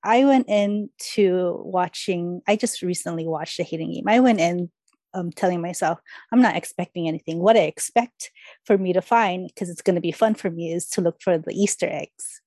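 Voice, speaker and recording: A woman's voice: on the low side, normal speed, warm tone, no echo. A woman speaking as a podcast guest in casual chat, telling things by quoting her own thoughts.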